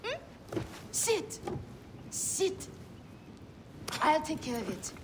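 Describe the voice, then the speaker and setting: A woman's voice: sits high, wildly swooping pitch, drawn-out words, noisy background, exaggerated voice. An actress playing a caring, bossy female healer and old friend in a video game, with a motherly manner. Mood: tender concern. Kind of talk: video game cutscene acting.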